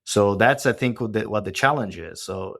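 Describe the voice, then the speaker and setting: A man in his forties from Brazil with a Brazilian accent and a Portuguese first language, explaining his business as a podcast guest in a measured way, often checking with "right?". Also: fairly low pitch, fairly bright tone. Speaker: guest, company co-founder. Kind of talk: podcast interview.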